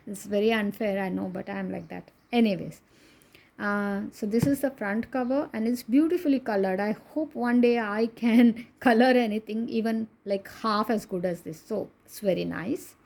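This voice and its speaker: Gravelly Indian woman, chatty reviewer. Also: mid-range voice, a little mellow. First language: Konkani. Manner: chatty, laughs often, self-deprecating asides. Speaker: coloring book reviewer. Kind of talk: casual book flip-through.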